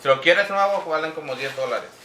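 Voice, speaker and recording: Bright-voiced man talking haltingly in an echoey room. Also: a little high. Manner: hesitant, halting, switching between two languages. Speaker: vlogger. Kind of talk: casual unboxing review, talking to viewers. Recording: echoey room with faint background noise.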